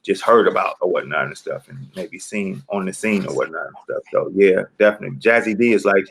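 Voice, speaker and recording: A man, talking in a rambling, relaxed way full of "you know" fillers as a guest in an interview. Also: sits low, fairly quick, creaky, slight background noise. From South Central Los Angeles. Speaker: guest rapper. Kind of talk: casual interview talk.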